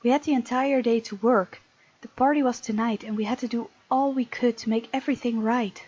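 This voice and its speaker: High-voiced woman, dull lo-fi sound. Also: fairly even intonation, a little fast, darkish tone, slightly rough. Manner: chatty asides while reading. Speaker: gaming video host. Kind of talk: let's play, reading aloud with casual comments.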